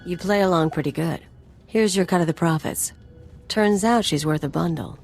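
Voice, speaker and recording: A woman's voice: fairly low pitch, normal speed, a little mellow, lightly acted. An actress voicing a cynical, streetwise mercenary woman in a video game, flippant and taunting in clipped, slangy phrases. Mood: smug. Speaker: companion character in a video game. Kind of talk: video game voice acting, casual dialogue. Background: music.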